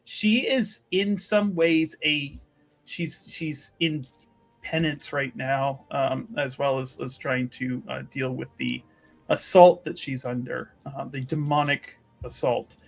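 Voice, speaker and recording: A man playing a tabletop roleplaying game, narrating his character in halting, deliberate, solemn phrases. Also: medium pitch, fairly even intonation, gravelly, faint music behind.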